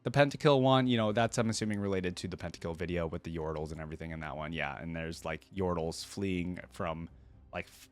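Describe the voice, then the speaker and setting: A man's voice: low-pitched, fairly quick, clean tone. A man giving casual reaction commentary as a video host, chatty and rambling, often tagging questions onto his remarks.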